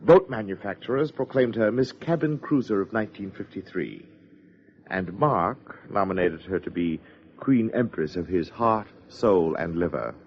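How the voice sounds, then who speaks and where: An actor playing a pompous, sardonic party host in a 1950s radio drama, wordy and theatrical. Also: male voice, deep voice, expressive, moderate pace, a little hiss or hum. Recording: dull old radio recording.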